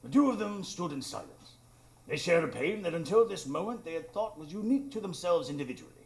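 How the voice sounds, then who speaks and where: A man playing an exasperated gentleman narrator in a radio drama, with dry, formal storytelling. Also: a little high, animated, fast, lightly acted. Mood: solemn narration.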